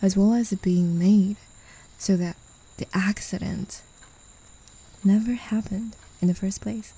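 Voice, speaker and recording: A woman voicing a possessive, mocking demon in an ASMR roleplay, speaking in a condescending, teasing way with soft whispers. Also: mid-range voice, fairly even intonation, a little slow, breathy edge, poor audio. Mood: taunting. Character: mocking, possessive demon who claims a human as a pet.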